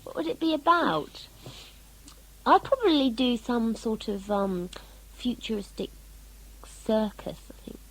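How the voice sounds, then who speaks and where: A woman's voice: fairly high pitch, measured pace, rough recording. A woman, an actress being interviewed casually about her work, with a jokey, self-mocking manner. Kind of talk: casual behind-the-scenes interview.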